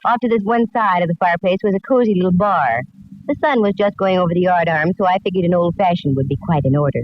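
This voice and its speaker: A woman's voice: on the low side, fast, natural voice. A woman playing a breezy, wry, self-assured private detective who narrates a 1940s radio mystery. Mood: wry narration. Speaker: narrator and lead. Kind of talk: 1940s radio acting, first-person narration. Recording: dull, noisy vintage radio recording.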